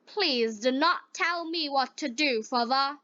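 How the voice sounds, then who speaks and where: Woman reading as a headstrong rich man's son in a storybook: high, bright, earnest, careful, lo-fi sound. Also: measured pace, dry recording. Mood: defiant. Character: headstrong young merchant's son in a moral tale.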